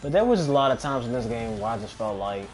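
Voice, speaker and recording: A man's voice: medium pitch, lively intonation, fast talker. A man giving casual gameplay commentary as a gaming video host, rambling and swearing often. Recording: game music behind the voice. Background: loud video game music.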